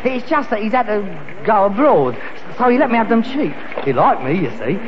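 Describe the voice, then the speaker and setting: A man's voice: sits high, big pitch swings, brisk. An actor playing an aspiring, defensive rag and bone man son with a London accent in a vintage British radio sitcom, indignant and pleading. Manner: indignant, wheedling. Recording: muffled noisy old radio recording, audience.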